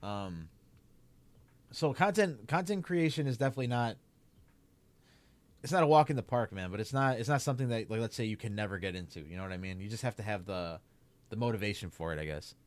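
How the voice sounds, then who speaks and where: A man's voice: on the low side, expressive, a little fast. Casual Chicago-accented male streamer. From Chicago area. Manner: rambling, lots of "like" and "man", restarts. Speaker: streamer and YouTuber. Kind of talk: casual livestream Q&A while gaming.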